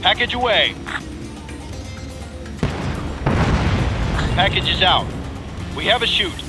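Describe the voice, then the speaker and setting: A man's voice: high voice, animated, moderate pace. A man acting an urgent military airman in a video game, giving terse, clipped combat reports. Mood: focused. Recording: loud music behind the voice.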